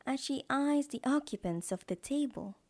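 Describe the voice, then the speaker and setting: A woman's voice: sits high, expressive, normal speed, darkish tone. A woman giving scripted narration for an audio drama as its storyteller.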